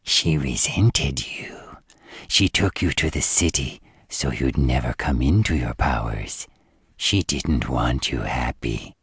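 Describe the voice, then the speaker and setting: A man voicing a sinister, possessive gremlin villain in a roleplay audio drama, in a raspy, manipulative whisper full of menace. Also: extremely low pitch, animated, fairly bright tone, no echo, heavily acted. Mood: taunting, cruel. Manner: slow, menacing, insinuating.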